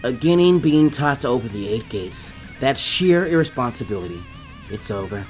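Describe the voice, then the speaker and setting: A man's voice: medium pitch, expressive, moderate pace, a little mellow, pitched below the performer's own voice, natural voice. A stern woman ninja leader, as a male narrator puts her on in an audiobook. She sounds grave, subdued and disapproving, with music underneath. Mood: grave disapproval.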